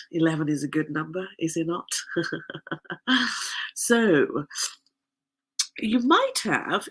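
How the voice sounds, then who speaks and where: A woman with a nasal, gravelly voice talks slowly and chattily to her listeners. Her pitch rises and falls freely, and her tone is slightly husky, with plenty of fillers and restarts. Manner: chatty, rambling, frequent fillers and laughs. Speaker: podcast host. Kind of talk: casual solo talk.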